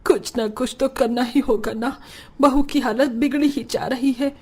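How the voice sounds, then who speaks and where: High-pitched, emotional household woman acted. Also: fairly even intonation, a little slow, darkish tone, slightly rough. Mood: worried. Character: anxious, devoted women of a poor household, mother-in-law and wife. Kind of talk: melodramatic Hindi cartoon family drama.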